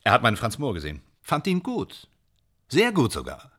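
Radio drama: preoccupied male stage actor, husky, very varied intonation, searching for words. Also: medium pitch, huge pitch swings, normal speed. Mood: hesitant, fascinated. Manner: hesitant, searching for words, clipped phrases. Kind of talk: naturalistic German radio drama acting.

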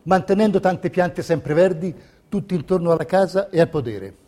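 A man's voice: high voice, lively intonation, normal speed, warm tone. A man delivering scripted, theatrical documentary narration in a declamatory, rhetorical way. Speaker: stage narrator.

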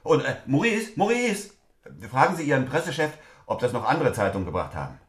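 A man's voice: mid-range voice, very animated, a little fast, natural voice. Brusque lawyer in an echoing room played by a man. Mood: irritated, commanding. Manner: clipped, businesslike. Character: brusque, businesslike corporate lawyer, curtly polite. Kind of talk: naturalistic stage-play dialogue.

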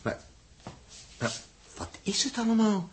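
A man's voice: sits high, very animated, a little slow. An actor playing an impatient, matter-of-fact male space explorer in a 1960s radio play, speaking Dutch with a Netherlands accent. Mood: puzzled, curious. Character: Dirk, down-to-earth male space explorer, dismissive of fears. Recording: noisy vintage 1960s radio recording with clicks.

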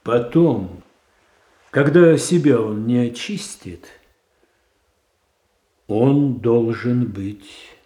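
An actor playing a stern, calculating royal adviser in a radio stage tragedy, with measured, formal delivery. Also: male voice, medium pitch, dark tone. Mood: cold firmness. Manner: measured, formal, weighty declamation. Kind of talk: classical Russian stage tragedy in radio adaptation. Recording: roomy, echoing stage sound.

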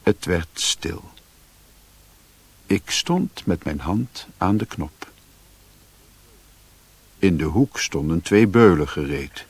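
A man's voice: sits low, expressive, slow, long pauses, slightly rough, exaggerated voice. An actor playing a sombre man who recounts his grim adventures in literary radio drama narration. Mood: tense, reflective.

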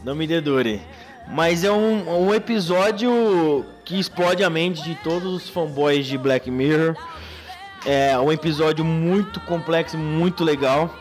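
A man's voice: fairly high pitch, unhurried, faint music behind. A man hosting a podcast in casual chat, recapping loosely with many restarts. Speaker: podcast host.

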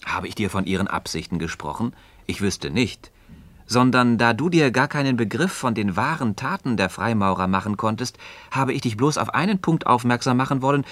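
An actor playing a calm, thoughtful philosopher and evasive mentor in a radio play, speaking in deliberate, rhetorical literary diction. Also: male voice, mid-range voice, no echo, natural voice. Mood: insistent, instructive.

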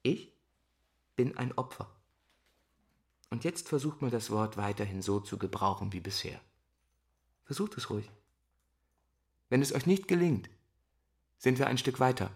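A male actor playing an idealistic, principled schoolteacher in a radio drama, earnest and deliberate with a dry manner. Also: mid-range voice, animated, husky. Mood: defiant, lecturing. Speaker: school teacher. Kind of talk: German radio drama acting.

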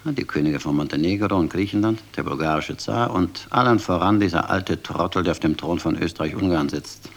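An actor playing a ruthless intelligence colonel in a German radio drama, brusque, commanding and cynically mocking. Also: male voice, low voice, a little fast, few pauses. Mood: fanatical, cynical. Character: ruthless, scornful intelligence colonel, fanatical conspirator.

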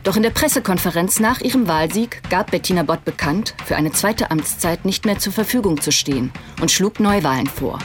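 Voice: medium pitch, lively intonation, fairly quick, hardly any pauses, natural voice. An actor playing a formal, factual news correspondent reading a scripted report in a radio play. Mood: matter-of-fact.

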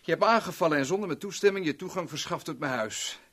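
Sadistic cocky crook, husky, wildly varied intonation, gloating, vintage radio drama. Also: male voice, a little high, expressive, fairly bright tone. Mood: menacing. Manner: taunting, theatrical, drawn-out phrasing. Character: cocky, sadistic male villain, insolent and gloating. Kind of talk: 1970s Dutch radio drama acting.

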